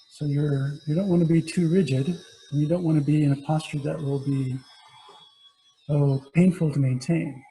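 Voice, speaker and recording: A man guiding a meditation as a teacher, giving gentle, unhurried instructions with pauses between phrases. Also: fairly high pitch, rough recording. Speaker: meditation teacher, Buddhist monastic. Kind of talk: guided body scan meditation.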